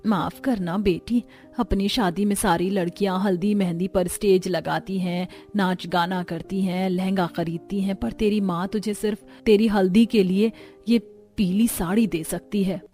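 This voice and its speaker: A woman's voice: mid-range voice, steady tone, moderate pace, mellow, soft background music, natural voice. A woman voicing a caring, patient middle-aged mother in a Hindi moral-story cartoon. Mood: tender.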